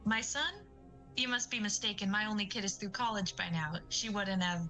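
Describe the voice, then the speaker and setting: Casting: professional optometrist, played by a woman, crisp forward tone, middle pitch, tactful audio drama delivery. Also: moderate pace, pushed and bright, soft background music, natural voice. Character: measured, polite female eye doctor with grown child.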